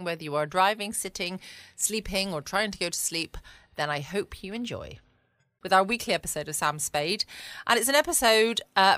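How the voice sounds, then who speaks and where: Podcast host, woman, southern English accent: bright, lowish voice, playful and rambling casual chat, dry close sound. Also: no room sound. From England. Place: small home studio.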